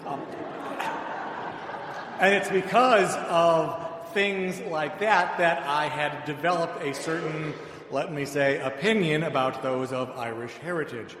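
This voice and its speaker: A man's voice: a little high, a bit bright. A middle-aged American man preaching in a conversational, dryly humorous way, telling personal anecdotes. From suburbs of Chicago, United States. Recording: echoey hall, long reverb, background noise.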